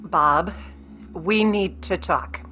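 An actress playing a patient, practical ex-wife in a radio play, with a natural, placating way of talking. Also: female voice, on the low side, extremely slow, sharp tone, faint music behind, heavily acted. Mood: exasperated. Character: patient, practical career woman, an exasperated ex-wife.

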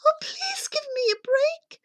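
Woman doing a young fairy-tale girl, very high, lively, pleading, plucky, storybook. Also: normal speed, heavily acted.

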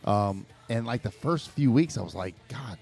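A man's voice: lowish voice, animated, moderate pace, vocal fry, darkish tone, husky. A man co-hosting a podcast, talking casually and loosely with some swearing, music behind him. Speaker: podcast co-host. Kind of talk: casual chat.

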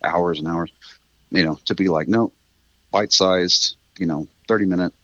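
A middle-aged man with a very low, bright-edged voice talks as a guest in a relaxed interview. He wanders through his thoughts, correcting himself along the way. The sound is close and dry, though slightly muffled. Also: moderate pace, no echo. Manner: rambling, thoughtful, self-correcting. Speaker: interview guest, writer of a radio drama. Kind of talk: casual reflective interview chat.

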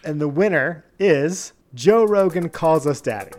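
A man's voice: fairly high pitch, animated, measured pace, a little mellow, soft background music. A man hosting a podcast in a casual mock awards-ceremony style, playful and mock-formal. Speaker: podcast host presenting joke awards.

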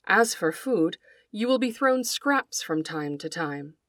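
A woman voicing a solemn male divine creator in a storybook fable, pronouncing fates in a measured way. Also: medium pitch, animated, fairly bright tone, dry recording, clean studio sound.

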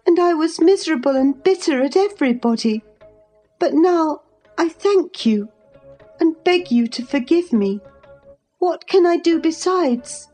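Lady doing a petulant young girl from an old novel, high voice, insistent and pleading. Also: lively intonation, measured pace, mellow, soft background music. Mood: contrite, pleading. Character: petulant, pleading young woman, proud but tearful.

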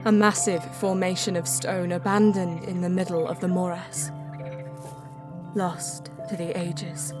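Solemn alien mystic woman, played by an actress, low and very unhurried, guarded and reverent, audio drama with prominent music. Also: level tone, close to an everyday voice. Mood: solemn awe. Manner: measured, solemn, deliberate. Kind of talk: science fiction audio drama, poetic first-person narration.

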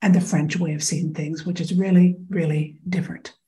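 American woman in her 50s, frank and reflective, lots of uhs, soft mellow voice. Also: on the low side, steady tone, moderate pace. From United States (Iowa). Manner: thoughtful, frank, with frequent fillers. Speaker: interview guest. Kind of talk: conversational interview.

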